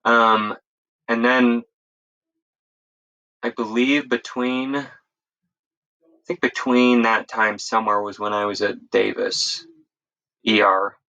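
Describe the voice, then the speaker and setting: Male, American, lowish but bright voice, informal interview guest who rambles and backtracks mid-sentence. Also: flat delivery, takes it slowly, pauses often, muffled recording. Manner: rambling, self-correcting, informal. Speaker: interview guest, EMS instructor. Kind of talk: casual conversational storytelling.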